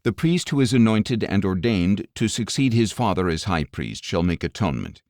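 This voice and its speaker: Low-voiced man reading scripture. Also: sing-song, darkish tone, no room sound, studio quality. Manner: measured, formal reading. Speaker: audiobook narrator. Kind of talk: scripted scripture narration, audio Bible reading.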